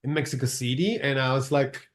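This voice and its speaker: A man's voice: medium pitch, a little fast. A man from Venezuela, a makeup artist guest chatting loosely with many fillers and restarts. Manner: rambling, lots of fillers, restarts and slips into Spanish. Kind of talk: casual interview chat.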